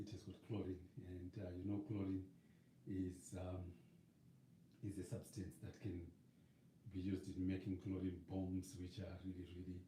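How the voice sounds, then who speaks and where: A middle-aged man with a Zimbabwean accent, an evangelist chatting on a live stream, slightly hoarse and rambling with many fillers and restarts. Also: deep voice, warm tone. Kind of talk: casual live-stream chat. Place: living room with a leather sofa and shelves. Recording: roomy, distant webcam sound with faint noise.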